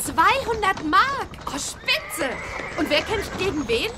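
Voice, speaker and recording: A spirited young witch girl in a children's radio play. The voice is very high and swoops eagerly, confident and girlish. Noisy effects with animal sounds sit behind it. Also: big pitch swings, slow, exaggerated voice. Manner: bright, eager, chanting spells. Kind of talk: children's audio drama acting.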